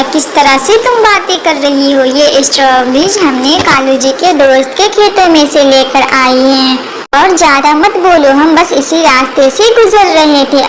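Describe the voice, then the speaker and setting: A woman's voice: high voice, background noise. A woman voicing a scheming, indignant female cartoon crow who wheedles and complains. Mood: defensive, indignant.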